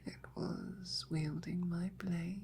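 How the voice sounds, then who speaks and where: A teasing, devoted female knight played by a woman speaks in intimate audio roleplay. Her voice is dark and husky, often dropping to a breathy whisper. She takes her time over courtly, flirtatious phrases. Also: fairly low pitch, steady tone, slow pace, full character voice.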